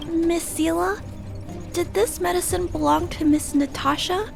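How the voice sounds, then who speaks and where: A gentle little girl from a video game, speaks in a very high voice over faint music. She is polite and a little hesitant, but resolute and earnest. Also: fairly even intonation, measured pace, vocal fry, full character voice. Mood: pleading. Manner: polite, soft-spoken, hesitant, careful phrasing.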